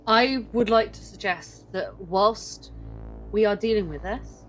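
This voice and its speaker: A woman playing a firm, formal space station authority in an actual play game, speaking in a measured way. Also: medium pitch, fairly bright tone, soft background music, rough recording.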